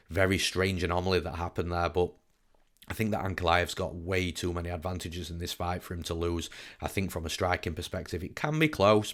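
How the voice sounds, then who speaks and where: A man who is a little under the weather and croaky, giving an informal sports breakdown as an analyst and host. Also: sits low, a bit bright. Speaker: MMA betting analyst, show host. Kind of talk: informal fight breakdown commentary.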